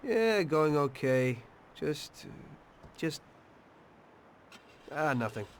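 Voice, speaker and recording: A man's voice: on the high side, sing-song, extremely slow, slight background noise, full character voice. A man playing a weary, troubled gangster in a crime video game. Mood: guarded. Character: weary, troubled male gangster, former taxi driver. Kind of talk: confessional narration and dramatic game dialogue.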